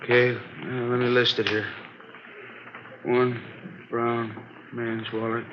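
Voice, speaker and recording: An unhurried desk sergeant played by a man with a mid-pitched voice, going through procedure in a plodding, routine manner. It comes from an old radio drama with muffled, noisy sound. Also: little pitch movement, close to an everyday voice. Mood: unhurried, procedural. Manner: plodding, repeats items back as he writes. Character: unhurried, routine-minded police booking sergeant. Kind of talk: 1940s-50s radio acting, naturalistic.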